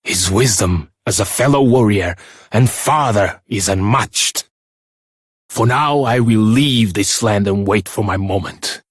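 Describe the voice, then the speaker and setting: An actor playing an earnest, seasoned warrior reflecting solemnly in a video game journal monologue. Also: male voice, on the low side, unhurried, husky, no room sound, studio quality. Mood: weary resolve.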